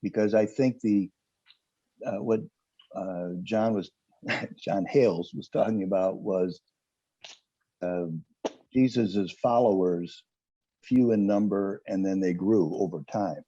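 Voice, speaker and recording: Very dark, low-voiced man, halting. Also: no echo, lo-fi sound. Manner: halting, deliberate, pausing mid-phrase. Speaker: Bible study participant. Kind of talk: casual group discussion.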